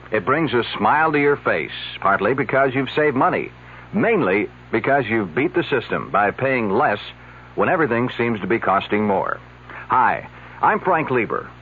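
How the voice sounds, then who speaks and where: A man's voice: mid-range voice, sing-song, moderate pace, breathy edge. A man reading a radio commercial in a friendly, chatty sales manner. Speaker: announcer. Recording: tinny old radio ad.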